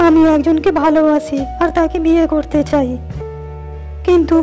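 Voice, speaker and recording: A woman's voice: sits high, level tone, normal speed, warm tone, faint music behind, pitched below the performer's own voice, natural voice. A woman putting on a humble, lovesick young shepherd boy. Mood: sad. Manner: humble and pleading.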